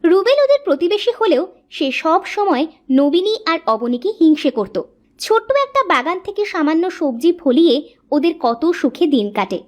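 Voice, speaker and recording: A woman's voice: very high voice, very animated, normal speed. A woman reading scripted narration for a cartoon moral story as its narrator.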